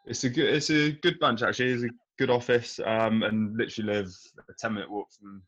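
A man's voice: mid-range voice, fairly even intonation, brisk, a bit bright, lo-fi sound. A young man chatting casually with friends, easygoing and teasing. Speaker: guest catching up with friends. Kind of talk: casual chat.

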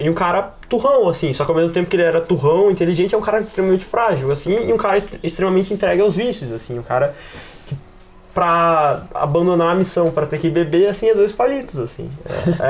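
A man chatting casually on an RPG podcast, informal and hesitant, often circling back to rephrase himself. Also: high-pitched. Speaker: RPG podcast participant and player. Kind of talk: casual chat.

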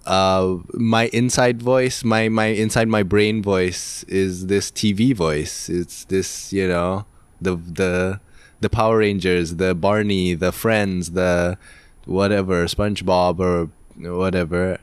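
Deep-voiced malaysian dude rambling on a podcast, lots of ums, dry close sound. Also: male voice, fairly even intonation, takes it slowly, smooth, dry recording. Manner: hesitant and rambling, many fillers. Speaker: podcast co-host. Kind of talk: casual chat.

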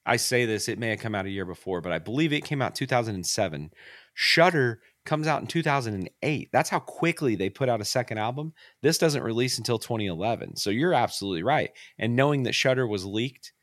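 A man's voice: medium pitch, animated, brisk, fairly bright tone, no room sound. A man co-hosting a casual music podcast, talking loosely with lots of "like" and "I mean". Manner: conversational, hedging with "like" and "I mean". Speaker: podcast co-host. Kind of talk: casual chat about music.

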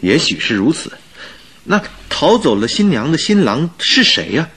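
A man's voice: fairly high pitch, sing-song, moderate pace, a bit bright, slightly rough, full character voice. An actor playing a curious, good-natured newlywed male doctor in a radio drama, with a conversational, inquisitive manner. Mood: curious, thoughtful.

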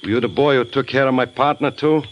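A man's voice: mid-range voice, a little fast, highs cut off, natural voice. An actor playing a tough-talking businessman held at gunpoint in a 1950s radio drama. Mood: tense. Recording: old radio broadcast with faint hiss.

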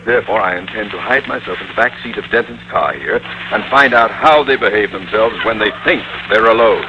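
An actor playing a pompous, stern middle-aged school principal in an old-time radio comedy, speaking in an orotund, wordy way. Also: male voice, fairly low pitch, brisk, lightly acted. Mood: self-righteous. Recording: noisy, muffled vintage radio recording.

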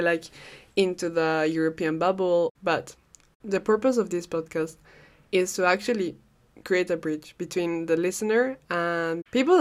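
A young woman with a Peruvian Spanish accent talks through a casual solo podcast. Her voice sits a little low, and she rambles freely, laughing often and dropping the odd swear. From Peru. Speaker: podcast host.

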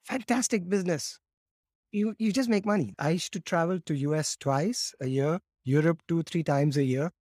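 A man in his fifties, raised in India, telling his story as a podcast guest in a lively way, often acting out quotes and repeating words as he starts. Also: fairly high pitch, normal speed, no room sound, studio quality. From born in Morocco, raised in India. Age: 50s. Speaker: guest, laughter yoga teacher.

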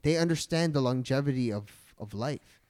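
A man's voice: mid-range voice, expressive, normal speed, darkish tone. A man chatting casually on a podcast, emphatic and swearing freely, with many restarts. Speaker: podcast co-host. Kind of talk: casual chat.

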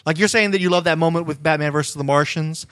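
Higher-voiced man hosting a casual podcast. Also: fast, a bit bright, dry recording, studio quality. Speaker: podcast host. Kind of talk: casual interview chat about comics.

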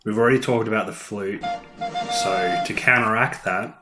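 A man's voice: fairly low pitch, normal speed, echoey room, loud music behind the voice. A man co-hosting a podcast, chatting casually with commentary and dry, teasing asides. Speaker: podcast co-host.

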